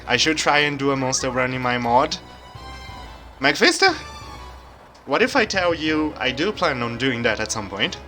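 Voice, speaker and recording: A soft-spoken man from Brazil, a native Portuguese speaker, chatting calmly while streaming a game. His accent is hard to place and often taken for German. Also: mid-range voice, normal speed, pauses often. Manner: soft-spoken, quiet and calm. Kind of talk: casual live-stream chat while gaming. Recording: game music playing behind.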